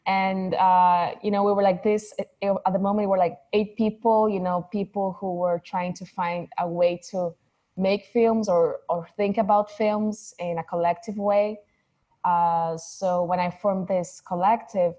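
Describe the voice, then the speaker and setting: Thoughtful woman in her 30s from Mexico, second-language speaker, low warm voice, halting restarts, video call interview. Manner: thoughtful, searching for words, many restarts. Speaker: filmmaker guest. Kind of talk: casual interview conversation.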